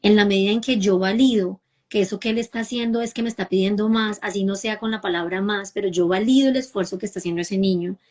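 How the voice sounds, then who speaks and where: A woman's voice: mid-range voice, quick pace, few pauses. A woman from Bogotá with a Colombian accent, a mother speaking earnestly as a guest and often checking in with "¿sí?". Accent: Bogotá Colombian Spanish. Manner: warm, emphatic, repeats and asks "¿sí?" for agreement. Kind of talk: conversational talk.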